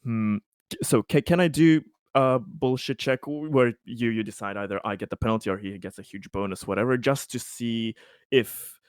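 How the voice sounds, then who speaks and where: A man playing a tabletop roleplaying game, explaining his character's thinking in a casual, deliberate, wordy way. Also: mid-range voice, clean tone, no echo, clean studio sound. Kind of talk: casual in-game discussion, describing his character in third person.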